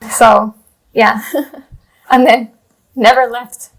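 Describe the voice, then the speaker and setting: A woman's voice: on the high side, fairly even intonation, very slow, creaky, rough recording. A woman in her thirties from Chile, a journalist guest telling her story in a rambling way, full of fillers and restarts. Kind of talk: casual interview talk.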